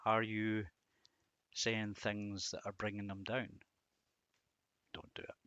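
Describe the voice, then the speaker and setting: A man's voice: on the low side, flat delivery, slow pace, a bit bright, dry recording, highs cut off. A middle-aged man giving a reflective devotional talk on scripture, asking rhetorical questions and repeating words for emphasis. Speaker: Bible teacher and host.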